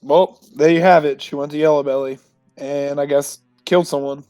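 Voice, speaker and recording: A man's voice: a little high, fairly even intonation, moderate pace, mellow, no room sound, faint music behind. A man playing in a tabletop roleplaying game, talking casually and bluntly with a sardonic edge.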